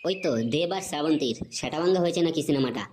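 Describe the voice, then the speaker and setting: A woman's voice: low voice, rapid-fire, close to an everyday voice. A woman voicing a laid-back, teasing older cartoon boy who talks in casual slang, with a West Bengali accent. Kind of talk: comedy cartoon dialogue. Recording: clearly noisy background.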